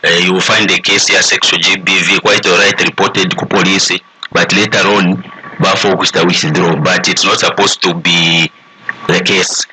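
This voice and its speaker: A man's voice: sits low, flat delivery, normal speed, background noise. A man with a Zambian accent speaking as an expert radio guest, making emphatic, repeated appeals and switching languages mid-sentence. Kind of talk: conversational advocacy in a radio interview.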